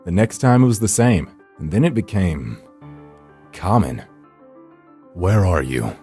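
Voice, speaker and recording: A man reading a visual novel story as dramatic narration, in a brooding, dry and deliberate manner. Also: fairly low pitch, sing-song, dark tone. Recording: music behind the voice. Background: background music.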